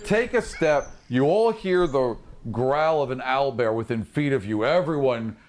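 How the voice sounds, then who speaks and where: A man's voice: mid-range voice, a little slow. Man narrating a tabletop game, noisy recording. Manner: acts out sound effects, animated descriptions. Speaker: game master.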